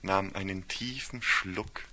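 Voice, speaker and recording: A man's voice: low-pitched, steady tone, takes it slowly, sharp tone, a little hiss or hum. A man giving a scripted literary narration for a radio play in a solemn, ornate storytelling manner.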